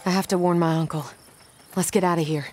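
A woman acting in a video game as a defiant, steely young frontier bride, curt and sharp-tongued. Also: fairly low pitch, fairly even intonation, fairly quick, over music, natural voice. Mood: urgent.

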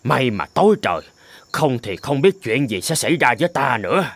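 A man voicing a boastful but cowardly tiger king in an animated folk tale, theatrical and easily panicked. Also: medium pitch, brisk, dry recording. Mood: puzzled, anxious.

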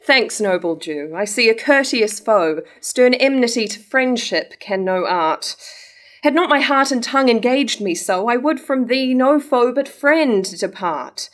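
A woman's voice: a little high, expressive, measured pace. A young woman playing a proud, honourable nobleman devoted to his love, in a formal verse drama reading. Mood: pained, grateful. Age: young adult. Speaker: actor in a staged reading. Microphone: stand mic at lectern.

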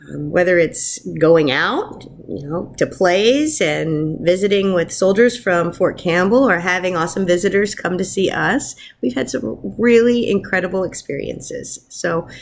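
A woman in her thirties, a first grade teacher, talking informally to young pupils and reading aloud in an encouraging, expressive way. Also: medium pitch, vocal fry. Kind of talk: warm informal message to pupils and picture-book read-aloud. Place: wood-ceilinged room at home, indoors. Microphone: webcam at arm's length.

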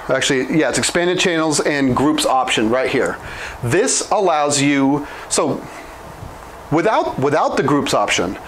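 Guy in his 30s walking through licenses at a whiteboard, a bit high voice, lapel mic, faint hiss. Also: a bit bright, rough recording. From Oklahoma. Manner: quick, informal, restarts and self-corrections. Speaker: product trainer. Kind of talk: whiteboard explainer. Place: small indoor room at a whiteboard.

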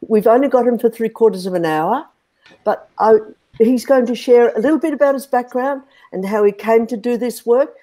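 Australian co-host in her sixties, hesitant, warm dark tone, video call. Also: medium pitch. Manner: hesitant, searching for words. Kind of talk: hosting a video interview. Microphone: earbuds on a video call.

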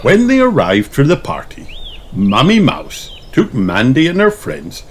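Animated male storyteller, slow and expressive. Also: mid-range voice, a little hiss or hum. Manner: expressive, putting on character voices. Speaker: children's book reader. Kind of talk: animated scripted read-aloud storytelling.

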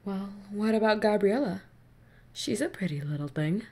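Woman acting an animated, devoted village mother. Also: medium pitch, moderate pace. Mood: gentle, reassuring. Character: devoted, excitable mother, an eccentric village baker. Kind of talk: amateur audio drama acting.

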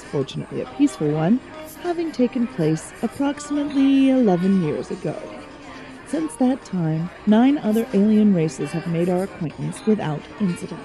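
A woman narrating an audio drama in a measured storytelling manner. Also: medium pitch, mellow, poor audio.

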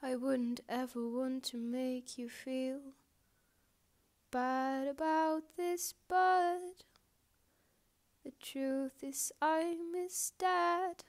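A woman voicing a lonely, wistful, apologetic balloon in a poetic monologue, with halting, deliberate phrasing and long pauses. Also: high-pitched, steady tone, exaggerated voice. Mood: earnest, pleading. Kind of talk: slow poetic performance monologue.

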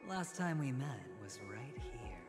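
Game villain-like gambler, unhurried, theatrical, ominous, inviting, loud music underneath. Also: male voice, medium pitch, very animated. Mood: knowing, eerie. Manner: slow, deliberate, ominously inviting. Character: smooth, theatrical male gambler toying with his guest. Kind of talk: video game cutscene acting.

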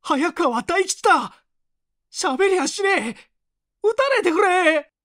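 A man voicing a desperate, terrified captured gangster with rough speech in a story reading. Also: very high-pitched, big pitch swings, slow, a bit bright, dry recording, studio quality, voice pushed up, exaggerated voice. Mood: screaming terror. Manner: rough slangy speech, screaming and muttering.

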